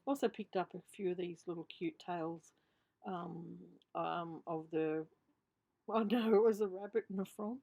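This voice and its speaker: A woman's voice: lowish voice, normal speed, warm tone. A woman in her fifties with an Australian accent and a slight lisp, giving a casual, rambling show-and-tell voiceover as a craft vlogger, often trailing off and correcting herself. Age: 50s. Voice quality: slight lisp from a missing tooth. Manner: chatty, unscripted, often trailing off and self-correcting.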